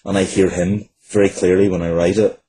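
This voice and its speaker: A man's voice: low voice, little pitch movement, a little fast, warm tone, slight background noise, rough recording. A man speaking casually and earnestly as an interview guest, often repeating phrases for emphasis.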